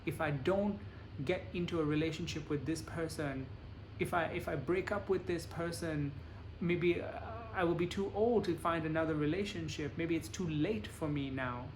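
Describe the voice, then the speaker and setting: Male lecturer, plain measured delivery, faint room noise behind. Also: on the high side, low-quality recording. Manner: plain, measured explaining with examples. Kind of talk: bilingual lecture with interpreter.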